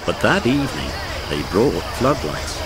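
A man's voice: fairly low pitch, very animated, a little slow, over music. A man narrating a children's story in a scripted storybook style.

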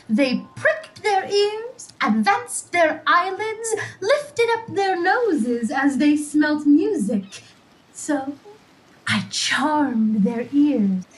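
Woman as a very high-pitched mischievous airy spirit. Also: exaggerated voice, poor audio. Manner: animated, relishing storytelling. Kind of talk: Shakespearean radio play acting.

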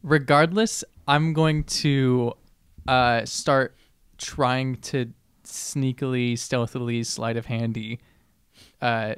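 A young man chatting casually as a tabletop game player, halting, joking and blunt. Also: mid-range voice, fairly even intonation, clear, resonant tone, no echo, clean studio sound. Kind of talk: casual role-play chat. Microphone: tabletop microphone, close.

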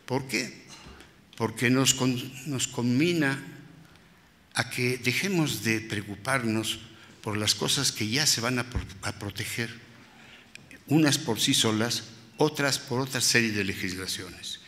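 A man in his eighties, born in Spain, speaking on a panel into a table microphone, reflective and halting, with pauses and self-corrections. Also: mid-range voice, bright, forceful tone. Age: 80s. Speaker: restorer and panelist. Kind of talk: panel talk, personal reminiscence. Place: large museum hall with audience. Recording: hall reverb from public-address room.

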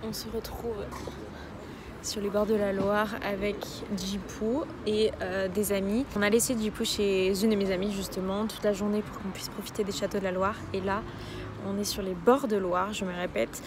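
A woman's voice: medium pitch, level tone, moderate pace, noisy background. A woman of about thirty chatting casually and effusively as she films a travel vlog. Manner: enthusiastic, effusive. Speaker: travel vlogger and dog trainer.

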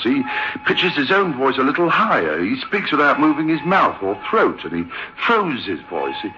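Animated, chatty theatre manager. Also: male voice, medium pitch, a little hiss or hum. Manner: chatty, hesitant, incredulous. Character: affable, sceptical theatre manager man telling an eerie tale. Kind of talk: old-time radio drama, conversational first-person storytelling. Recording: dull, lo-fi old radio recording.

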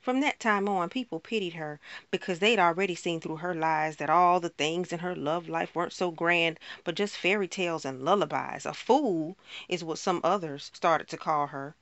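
A woman performing a spoken word poem in a rhythmic, rhyming delivery with dramatic pauses. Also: lowish voice, fairly bright tone, dry recording.

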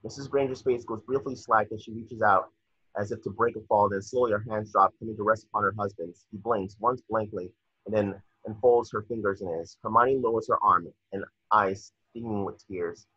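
A man's voice: on the low side, steady tone, a little fast, bright, forceful tone, breathy edge, dry recording. A young man reading screenplay stage directions as scripted narration, on dull video-call audio. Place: indoor room at home, on a video call.

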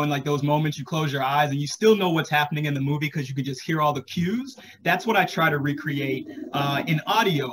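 A man's voice: a little high, fairly bright tone, a little hiss or hum. An American man in his thirties from North Carolina, talking casually as a guest on a video-call panel. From Charlotte, North Carolina, US. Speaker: podcast creator and guest panelist. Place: home room, indoors, video call.

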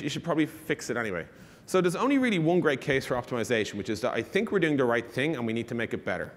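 A man in his thirties giving an informal, emphatic conference presentation from a stage. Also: mid-range voice. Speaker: conference speaker. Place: large conference stage, indoors. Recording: echoey hall reverb.

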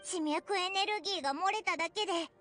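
A woman voicing a tiny, childlike floating fairy companion in a Japanese anime game, curious, excitable and blunt. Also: very high-pitched, fast, faint music behind. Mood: surprised, eager. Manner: blunt, inquisitive.

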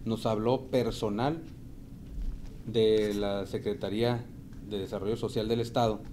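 A man's voice: lowish voice, fairly even intonation, a little slow, mellow, a little hiss or hum. A man speaking at a press conference in a small meeting room, hesitant and often restarting. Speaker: dissident party member at a press conference.

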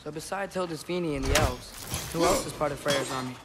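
A man's voice: a little high, a little fast, heavy background noise, lightly acted. A young man playing an earnest, curious teenage boy adventurer in a video game, with a casual, questioning manner. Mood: thoughtful. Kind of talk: video game dialogue acting.

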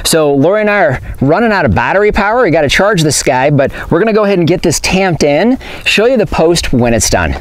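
A man's voice: fairly high pitch, big pitch swings, fairly quick, hardly any pauses. A middle-aged man giving a casual, practical how-to explanation outdoors on open farmland, filmed by a camera a few metres away. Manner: plain, practical, talks with his hands. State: a little out of breath. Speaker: homesteading vlogger.

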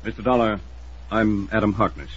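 A scheming older curator in a vintage radio mystery. The voice is very rough and husky, on the low side, formal and imperious, through a dull old recording. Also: male voice, fairly even intonation, normal speed. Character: stiff, scheming older museum curator, villainous father. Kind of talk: 1950s radio detective drama acting.

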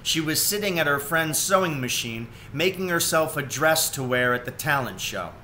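Bright-voiced man reading a story aloud. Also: on the high side, expressive, normal speed, a little hiss or hum. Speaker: reader of a children's storybook. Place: room at home, indoors.

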